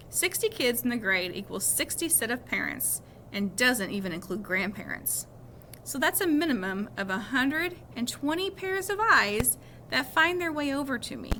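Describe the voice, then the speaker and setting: A woman's voice: on the high side, sharp tone, a little hiss or hum, poor audio. A woman reading a children's novel aloud expressively, now and then correcting a small slip. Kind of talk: read-aloud storytelling.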